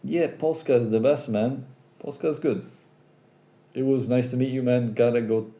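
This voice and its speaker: Relaxed American-accented man, dark roomy voice. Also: medium pitch, normal speed. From Poland. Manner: relaxed, rambling, repeats himself. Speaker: live stream host. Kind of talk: casual live chat reading viewer questions.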